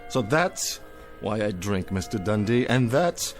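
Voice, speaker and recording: An actor playing an aging, tender-hearted, down-and-out drinker in an emotional radio drama style. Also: male voice, on the low side, big pitch swings, measured pace. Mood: mournful, impassioned. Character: aging, weary, drunken, tender-hearted down-and-out man. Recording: radio drama with music underneath.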